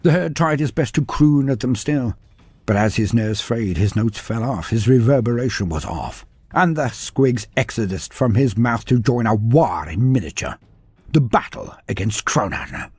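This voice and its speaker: A man narrating a scripted story in a dramatic, animated way. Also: mid-range voice, normal speed, breathy edge, dry recording.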